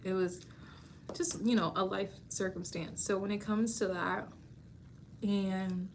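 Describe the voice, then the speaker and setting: A woman's voice: mid-range voice, steady tone, slow pace, slight background noise. Chatty woman in her thirties livestreaming. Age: 30s. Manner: chatty, laughs often, calls viewers baby. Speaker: beauty school staff and livestream host. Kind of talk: casual livestream chat.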